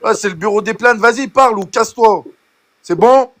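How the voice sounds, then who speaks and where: Man arguing politics, insistent, interrupting, stumbling restarts, fairly high voice. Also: normal speed, a bit bright. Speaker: live debate participant. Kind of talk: heated political argument.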